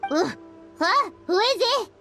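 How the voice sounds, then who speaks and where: A woman voicing a tiny, excitable childlike fairy companion in a video game, with a squeaky, exclamatory delivery. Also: unhurried, gravelly, soft background music, full character voice. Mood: startled. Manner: speaks of self in third person, exclamatory.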